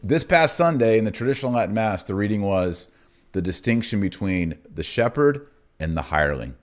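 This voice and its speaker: A man hosting a podcast with conversational religious commentary in an animated, argumentative way. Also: fairly low pitch, brisk. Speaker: podcast host.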